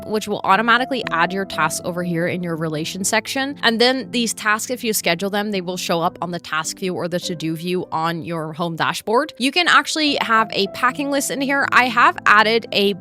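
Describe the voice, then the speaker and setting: A woman's voice: medium pitch, sharp tone. A young woman with a Dutch accent gives a chatty, explanatory tour of a planning template, leaning on "actually" and "basically.". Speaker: template creator giving a tour. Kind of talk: screen-recorded software walkthrough.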